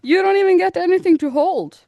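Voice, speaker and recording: A woman's voice: very high-pitched, brisk, vocal fry, dry recording, highs cut off. A woman from Norway co-hosting a casual gaming podcast, chatting in an informal, emphatic way. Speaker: podcast co-host, gaming YouTuber.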